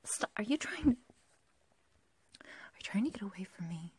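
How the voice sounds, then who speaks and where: A woman's voice: medium pitch, very animated. A woman playing an obsessive, lovestruck yandere girl kidnapper in a whispered, intimate, possessive ASMR roleplay. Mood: hurt, possessive. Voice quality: breathy, whispery. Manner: slow, intimate, halting, possessive.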